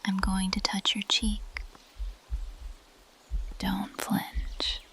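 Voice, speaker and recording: A woman playing an ancient, possessive female plant monster in an ASMR roleplay, in a breathy, intimate, teasing whisper. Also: mid-range voice, completely flat delivery, a bit bright, full character voice. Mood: predatory fascination. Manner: intimate, teasing, slow and deliberate.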